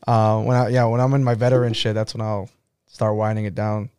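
A man's voice: on the low side, level tone, a little fast, clean tone. Laid-back young man, rambling chat. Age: 20s. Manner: rambling, heavy use of "like", laughs loudly. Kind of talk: casual chat.

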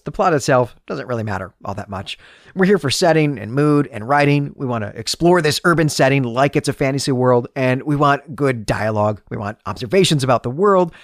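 Man hosting podcast, animated swooping intonation. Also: mid-range voice, no echo, studio quality. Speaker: podcast host and historian. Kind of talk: semi-scripted book discussion podcast.

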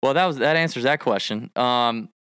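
A man co-hosting a casual golf betting livestream, talking in an animated, emphatic way and piling on stats. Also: mid-range voice, normal speed, clean tone, dry recording.

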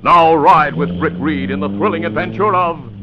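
A man's voice: fairly high pitch, normal speed, music in the background. A man announcing and narrating in dramatic, emphatic 1940s radio style, on a muffled old broadcast recording.

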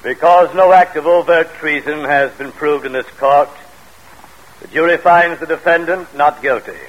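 A man's voice: fairly high pitch, normal speed, natural voice, poor audio. An actor playing a solemn jury foreman in old-time radio drama, speaking formally and declamatorily.

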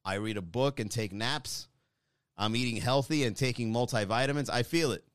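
Man, 30s, comedian chatting to his audience, smooth dry low voice. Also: normal speed, dry recording. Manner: rambling, self-deprecating, chatty. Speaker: comedian talking to viewers. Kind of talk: casual monologue to an online audience.

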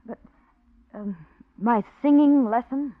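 An actress playing a calculating young wife in a 1940s radio drama, smooth and persuasive. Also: female voice, fairly high pitch, slow. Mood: urgent. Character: scheming young wife plotting murder. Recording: tinny, dry old radio recording.

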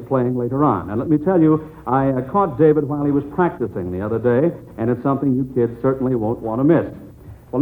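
A man's voice: fairly high pitch, phone-quality sound. A man hosting a live 1940s radio quiz show as a substitute, joking jovially as he addresses children.

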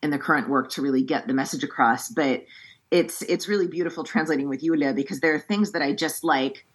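A woman translator with a thoughtful, measured manner who reads a poem aloud and then explains her choices, often restarting her sentences. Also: sits low, husky. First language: English. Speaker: poetry translator. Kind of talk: poetry reading and book-event discussion.

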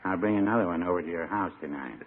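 Prim, mild radio shopkeeper. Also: male voice, deep voice, quick pace. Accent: American. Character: mild, timid, easily wounded old shopkeeper friend. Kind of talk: 1940s-50s radio comedy acting. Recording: muffled, noisy vintage radio broadcast.

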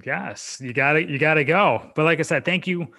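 A man's voice: fairly high pitch, normal speed, sharp tone. A man from upstate New York with an American accent, interviewing a guest as a podcast host in an informal, encouraging way. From upstate New York, United States. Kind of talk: conversational interview.

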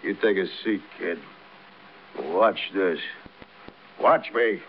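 An actor playing a big, childish, bragging hoodlum who is wounded and dying, in an old radio crime drama. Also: male voice, low voice, slow pace. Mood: bitter, fading. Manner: taunting, rambling, repeats himself. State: wounded, labored speech. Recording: muffled, noisy vintage radio recording.

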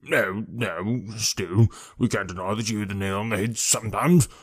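A man voicing a stout, self-satisfied middle-aged policeman in an audiobook story, with a muffled, husky, wheezy voice and a hearty, pompous manner. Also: fairly low pitch, moderate pace, no room sound. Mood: brisk, self-satisfied. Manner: hearty, pompous, repeating his phrases.

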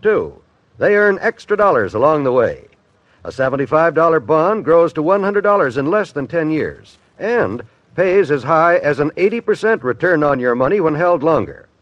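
A man's voice: fairly high pitch, no echo. A man with an American accent reading a scripted 1950s radio announcement. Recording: dull, dry old radio recording.

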